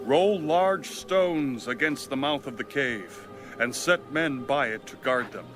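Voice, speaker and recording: Man playing commanding ancient general, slow delivery, audio drama, faint music behind. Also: mid-range voice, natural voice. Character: commanding male military leader of ancient Israel.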